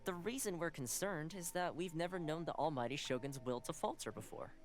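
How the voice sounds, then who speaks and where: A man's voice: on the high side, brisk, close to an everyday voice. A man voicing a friendly, diplomatic young household envoy in a video game, courteous and easygoing as he explains. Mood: explaining, diplomatic. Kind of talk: game dialogue. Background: soft game music.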